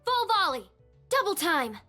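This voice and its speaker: Squeaky-high, bright, feisty little gunner creature. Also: female voice, big pitch swings, unhurried, soft background music, exaggerated voice. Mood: excited. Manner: cocky, punchy battle quips. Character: small feisty cheerful female gunner creature from a fantasy game. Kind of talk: video game character voice lines.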